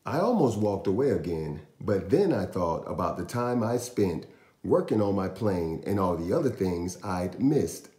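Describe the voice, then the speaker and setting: A man in his thirties reading a picture book aloud to young children, with deliberate, dramatic storyteller pauses. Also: deep voice, very animated, dark tone. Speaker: children's storytime host and reader. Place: small indoor room in a house. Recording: roomy echo, faint music.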